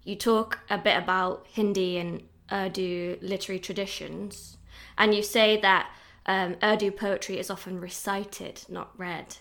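A woman interviewing a guest in a relaxed conversation, asking her questions in a friendly, informal way. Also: medium pitch, a little slow, vocal fry.

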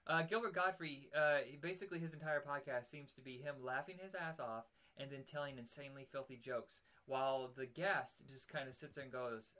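A man's voice: mid-range voice, brisk, few pauses, bright, forceful tone. A man with a nasal voice, hosting a podcast in casual, rambling chat. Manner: rambling, self-deprecating. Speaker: podcast host.

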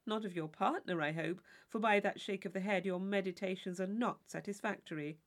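A woman voicing a witty young gentleman in a storybook reading, articulate, arch and playfully mock-serious. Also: mid-range voice, fairly quick, lightly acted. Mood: teasing. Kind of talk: audiobook character dialogue.

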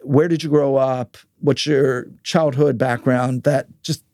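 A man's voice: mid-range voice, fairly even intonation, slow pace, dark tone, no room sound. Man hosting a casual interview, dry close sound. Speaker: podcast host interviewing a guest.

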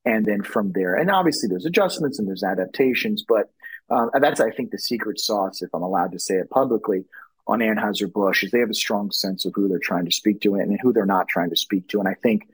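A man's voice: fairly low pitch, fairly quick, husky, dry recording, poor audio. A middle-aged man from Louisiana, a brand strategist talking in a podcast interview, rambling with many restarts and fillers.

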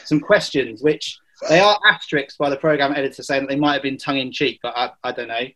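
A man's voice: mid-range voice, fast, a bit bright, low-quality recording. Welsh man hosting a jokey podcast. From Wales. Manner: jokey, hesitant with fillers. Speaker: podcast host. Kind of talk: casual podcast chat.

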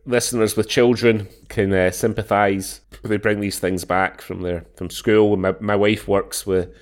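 A man with a lowish voice, roughened and deepened by a cold. He chats casually on a podcast call, stammering through restarts and laughing easily. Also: flat delivery, unhurried. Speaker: podcast co-host. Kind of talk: casual chat. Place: home in Dubai.

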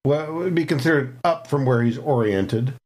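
Relaxed tabletop player, mid-pitched voice. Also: moderate pace. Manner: relaxed, talks about his character in third person. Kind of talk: casual tabletop game play.

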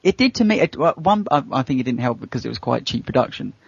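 A man's voice: medium pitch, very animated, fast talker, gravelly, breathy edge, no room sound, lo-fi sound. A man in a casual podcast discussion, giving his opinions in a conversational way. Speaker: podcast panelist.

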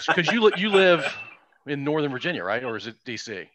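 A man's voice: fairly high pitch, sing-song, brisk, crowd noise. A man in his fifties hosting a podcast, chatting casually with a guest and hesitating now and then. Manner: friendly, hesitant with fillers. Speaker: podcast host. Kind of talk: casual interview chat.

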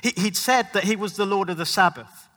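Male preacher, emphatic sermon delivery, dramatic pauses, highish voice, long hall reverb. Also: a bit bright. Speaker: pastor, guest preacher. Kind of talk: sermon to a live congregation. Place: large hall at a live church event.

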